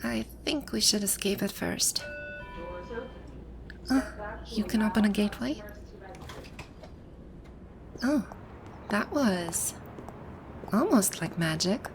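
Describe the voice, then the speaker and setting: A woman's voice: medium pitch, lively intonation, slow, vocal fry, long pauses, a crowd in the background. A woman acting a haughty, bewildered video-game villainess in an ASMR roleplay, with pompous, commanding, old-fashioned phrasing. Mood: wary, curious.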